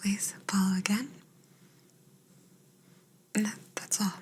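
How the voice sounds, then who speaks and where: A woman playing a curious, gently clinical alien in an ASMR roleplay, whispering soft, breathy instructions in a soothing, measured way with murmured hums. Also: medium pitch, steady tone, a little hiss or hum, natural voice. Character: curious, gently clinical female alien examining an abducted human.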